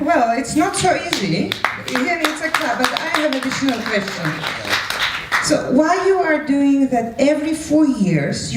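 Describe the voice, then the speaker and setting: High-voiced woman moderating in an echoey room. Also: a little mellow, far from the mic. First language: not English. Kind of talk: live event moderating. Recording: echoey room from afar, faint noise.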